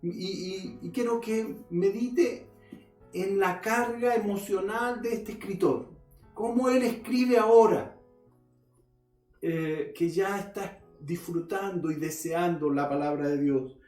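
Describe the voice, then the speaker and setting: A man preaching a sermon in a high voice and a slow, deliberate pace. He speaks earnestly and directly to his listeners as he reads scripture aloud. The recording is roomy and echoey, with a faint guitar behind him. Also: a little mellow, far from the mic. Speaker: pastor. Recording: roomy echo.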